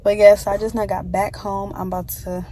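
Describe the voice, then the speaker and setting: A young woman with a slightly hoarse throat, chatting casually to her viewers on a phone while going about her day. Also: medium pitch, level tone, fairly quick, dark tone. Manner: chatty, addresses viewers as y'all, talks to her baby between remarks. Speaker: lifestyle vlogger. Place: in a car and at home. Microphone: phone camera. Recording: noisy phone recording with road noise.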